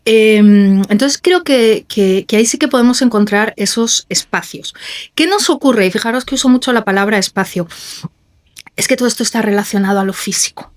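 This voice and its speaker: A woman, a writing teacher hosting a podcast, talking in a lively way with small laughs. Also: medium pitch, a little fast. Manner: lively, laughs between thoughts. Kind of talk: casual conversation.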